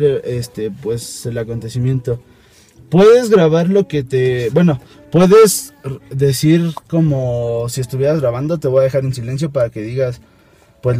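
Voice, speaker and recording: A young adult man with a Mexican accent speaks in a mellow, unforced voice. His delivery is hesitant and improvised, as in a casual phone conversation. He is recorded inside a car, with faint music behind him. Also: mid-range voice. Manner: halting, hesitant explaining. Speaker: prank caller, video host. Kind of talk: improvised prank phone call and casual chat. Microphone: camera at arm's length.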